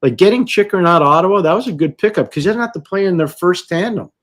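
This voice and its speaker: A man with a somewhat high-pitched voice talks casual sports as a podcast co-host. He is emphatic in his delivery. He often repeats a phrase to drive it home, in the manner of an analyst. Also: lively intonation, fast talker, fairly bright tone.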